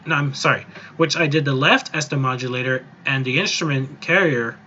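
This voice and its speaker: A man giving a casual, improvised software tutorial, explaining step by step in an informal, rambling way. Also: medium pitch, fairly quick, lo-fi sound, a little hiss or hum. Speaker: music software tutorial presenter. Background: voice-over on a screen recording.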